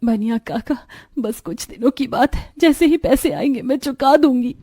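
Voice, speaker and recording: A woman voicing a gentle, patient and worried young mother in a cartoon story. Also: high voice, normal speed, breathy edge, no room sound, clean studio sound. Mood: soft, worried.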